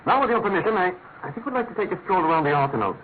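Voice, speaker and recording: A British male detective in a classic radio mystery, brisk, probing and sure of his authority. His pitch moves in lively swings through clipped, deliberate questions. The sound is narrow as a telephone, with a faint layer of noise. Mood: brisk, keen. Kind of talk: classic radio drama acting. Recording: noisy, narrow-band old radio recording.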